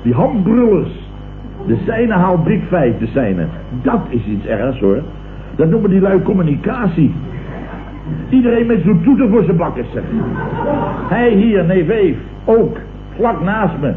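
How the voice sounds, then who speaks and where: A blustering Dutch building contractor in an old radio comedy, grumbles sarcastically and full of self-importance. His voice sits a little high, on a thin, echoey recording. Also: big pitch swings, a little slow. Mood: indignant. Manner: grumbling, ranting, sarcastic, colloquial. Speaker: actor in a radio comedy serial. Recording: tinny, hissy old radio recording, roomy.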